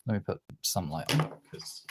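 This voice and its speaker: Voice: low-pitched, very animated, moderate pace, very noisy. Someone giving a casual hands-on art tutorial, rambling and thinking aloud while working.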